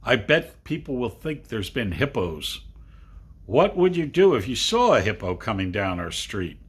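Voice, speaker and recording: A man voicing playful, imaginative young boys in a storybook, eager, boastful and excitable. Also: medium pitch, unhurried, breathy edge. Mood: excited boasting.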